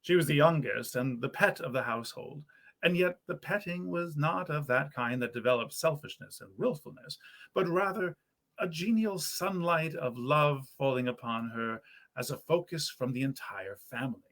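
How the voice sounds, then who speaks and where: A man with a slightly high voice reads old-fashioned literary fiction aloud. His delivery is theatrical and genteel, the expressive manner of an actor telling a period story. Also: moderate pace. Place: period parlor in a historic house.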